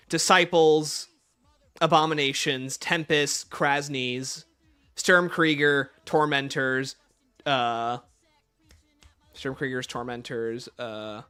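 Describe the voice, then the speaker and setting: A man's voice: mid-range voice, bright, forceful tone, smooth. A man hosting a casual livestream, chatting informally and playfully as he answers viewer questions. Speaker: livestream host.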